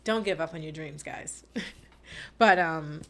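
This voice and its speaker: Casting: Bahamian woman in her twenties, bright crisp tone, animated storyteller. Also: low-pitched, a little slow. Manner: animated storytelling, laughs often. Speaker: guest. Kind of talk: casual chat.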